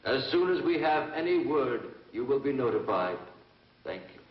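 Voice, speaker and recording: An actor playing a grave space agency official making a formal, deliberate announcement in an old film. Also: male voice, mid-range voice, big pitch swings, close to an everyday voice. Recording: echoey, distant, muffled old film soundtrack.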